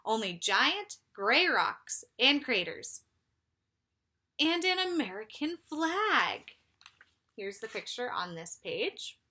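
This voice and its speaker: A woman reading a children's story aloud like a teacher, with deliberate, emphatic phrasing for young listeners. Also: a little high, big pitch swings, pushed and bright, breathy edge.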